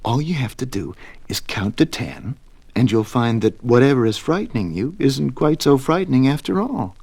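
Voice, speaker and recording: A man voicing a kindly, reassuring male vagabond in a storybook, speaking gently and soothingly. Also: mid-range voice, expressive, normal speed, slightly rough, voice pushed up.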